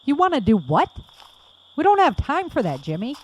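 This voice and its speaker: A woman's voice: high-pitched, normal speed. An actress playing a tense young woman survivor, speaking urgently. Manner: urgent, expressive.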